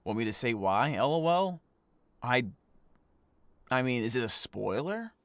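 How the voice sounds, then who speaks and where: Bright-voiced man streaming a game. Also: mid-range voice, very animated, no room sound, studio quality. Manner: halting, chuckling asides. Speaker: streamer and voice actor. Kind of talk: casual gameplay commentary.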